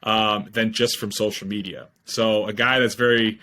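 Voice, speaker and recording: Guest founder, man in his twenties, low voice, forceful bright delivery, quick and eager on a headset call. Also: little pitch movement. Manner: fast, eager explaining with many fillers. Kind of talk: casual podcast interview. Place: home room, video call.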